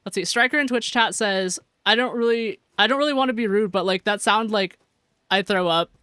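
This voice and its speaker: Young woman, American accent, giggly, rambling, crisp bright tone, mid pitch. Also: a little fast, dry recording. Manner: giggly, rambling, reads chat aloud. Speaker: streamer. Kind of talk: casual gameplay livestream chat.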